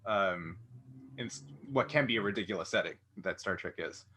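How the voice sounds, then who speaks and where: A man's voice: sits low, normal speed, bright, forceful tone, a little hiss or hum. A man hosting a casual interview, thinking aloud in a rambling, conversational way. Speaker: interview host.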